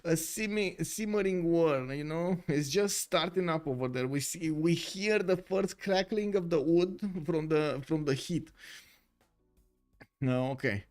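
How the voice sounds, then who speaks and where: A man's voice: a little high, a little slow, darkish tone, soft background music. Romanian-accented man casting a strategy game. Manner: filler-heavy, reads chat aloud. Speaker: esports caster. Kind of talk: live game commentary.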